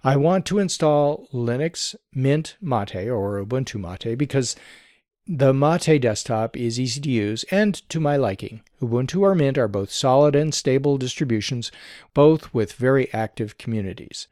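A man co-hosting a tech podcast, talking at an ordinary middle pitch with a measured, explanatory manner. His voice sits dry and close to the mic, with no room sound around it. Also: animated, a little mellow, dry recording. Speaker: podcast co-host. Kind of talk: casual tech podcast chat, reading listener email.

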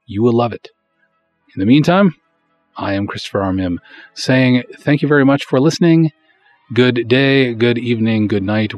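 A man's voice: fairly low pitch, darkish tone, dull audio. A man hosting a podcast, chatting to listeners and promoting projects in a direct, informal style. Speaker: podcast host.

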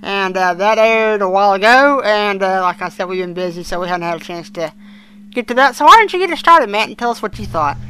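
Bright young voice co-hosting a podcast. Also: mid-range voice, expressive, brisk, clear, resonant tone, rough recording. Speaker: podcast co-host. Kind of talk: casual podcast discussion. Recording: faint background noise.

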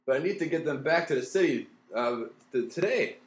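A man's voice: fairly high pitch, lively intonation, fairly quick, natural voice. A man playing a flustered, earnest radio producer who stammers and hesitates, in a mock old-time radio play recorded in an echoey room.

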